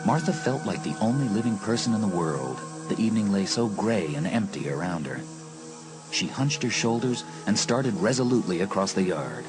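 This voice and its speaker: A man narrating a dramatised horror audiobook in a measured storytelling manner. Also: fairly low pitch, very animated, music in the background, low-quality recording.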